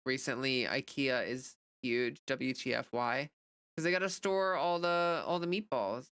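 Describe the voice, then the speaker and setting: A woman's voice: extremely low pitch, a little slow, a bit bright, clear, resonant tone, dry recording. An American woman livestreamer chatting casually and off the cuff, rambling informally as she answers viewer comments. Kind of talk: casual unscripted chat.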